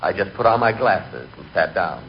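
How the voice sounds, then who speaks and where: A man's voice: low voice, big pitch swings, normal speed. A man acting a timid, neurotic and comically vain storyteller in 1940s radio comedy. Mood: deadpan, confiding. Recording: muffled, hissy 1940s radio recording.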